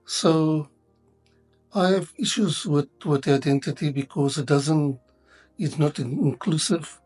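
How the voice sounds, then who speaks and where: This is a man, an Afrikaans speaker, answering an interview question. His voice is breathy and a little higher than average, and he often hesitates, restarts words and fills gaps with ums. Soft music plays faintly under his voice. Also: unhurried.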